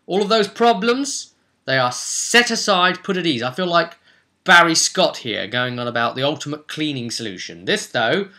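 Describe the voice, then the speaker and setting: Bright-voiced man, emphatic explainer. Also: mid-range voice. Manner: emphatic, rhetorical, chatty asides. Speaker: tabletop role-playing video presenter. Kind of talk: enthusiastic explainer talking to viewers.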